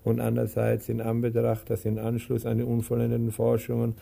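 An actor playing a servant who recites a senseless pseudo-learned monologue in a creaky, repetitive, halting way in a radio play. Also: male voice, mid-range voice, monotone, dark tone. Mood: droning, halting. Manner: halting, repetitive, droning recitation that cannot be interrupted.

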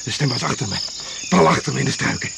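An actor playing an exhausted, jittery jungle crash survivor in a Dutch radio play, agitated and insistent. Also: male voice, mid-range voice, expressive, quick pace, creaky. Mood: frightened, exhausted. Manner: jittery, insistent, fragmented phrases. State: worn out, agitated. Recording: noisy, insects and frogs behind.

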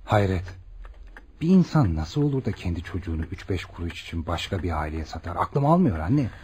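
An earnest young doctor for radio theatre. His low voice swings widely as he probes and reassures, with a dark, mellow edge. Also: fairly quick, slight background noise. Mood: incredulous, probing. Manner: expressive, probing, reassuring. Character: earnest, caring young male doctor, protective and questioning son. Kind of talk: Turkish radio theatre acting.

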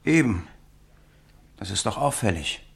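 An actor playing an uneasy, suspicious seller in a German radio drama, speaking warily and reluctantly. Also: male voice, lowish voice, very animated, a little slow, vocal fry, slightly rough, exaggerated voice. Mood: wary, suspicious. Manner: wary, reluctant.

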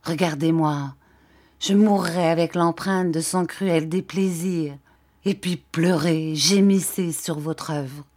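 A female narrator plays a scarred, embittered woman consumed by vengeful passion in a classic novel. She accuses and commands imperiously, hammering out her reproaches at a slow, deliberate pace. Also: deep voice, steady tone, darkish tone. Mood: bitter accusation. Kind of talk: dramatic audiobook acting of a classic novel.

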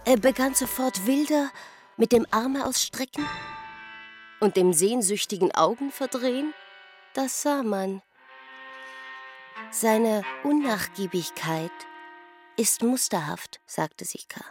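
A woman's voice: a little high, animated, slow, pauses often, darkish tone, soft background music. A woman performing an observing narrator voice in a German radio play. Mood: watchful.

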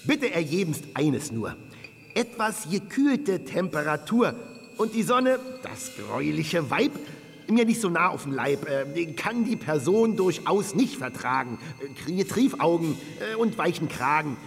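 An actor playing a snobbish, monocled frost giant in a children's radio play, speaking in a clipped, haughty military drawl. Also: male voice, high voice, big pitch swings, unhurried, creaky, a bit bright, big-room sound, faint music behind, heavily acted. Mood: haughty, indignant.

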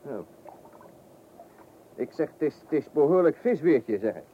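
Chatty bumbler, radio play, hesitant rambling, very varied intonation, muffled old recording. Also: male voice, on the high side, expressive, measured pace, slight background noise. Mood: chatty, jovial. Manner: rambling, hesitant, repeats himself, chuckling to himself. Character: bumbling, chatty, eager amateur angler Wilkinson.

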